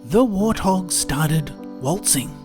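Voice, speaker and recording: A woman reading a children's picture book aloud in a measured, dramatic, expressive storytelling manner. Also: lowish voice, over music.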